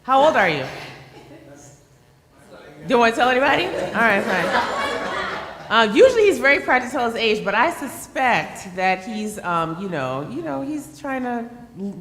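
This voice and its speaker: A woman's voice: fairly high pitch, big pitch swings, normal speed, pauses often, fairly bright tone, some chatter behind, slight background noise. A woman hosting a live show, playfully teasing and chatting back and forth with her audience. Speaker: host. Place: event space with a live audience. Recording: echoey hall.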